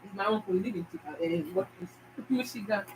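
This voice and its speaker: A woman's voice: mid-range voice, quick pace, distant mic, noisy background. A woman with a Nigerian accent hosting her own channel, talking emphatically to viewers and repeating her phrases. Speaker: YouTube channel host. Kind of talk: casual motivational talk to viewers.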